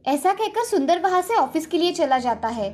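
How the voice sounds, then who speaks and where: A woman's voice: very high voice, big pitch swings, moderate pace, darkish tone, faint music behind. A woman storyteller reading scripted narration for a moral fairy tale.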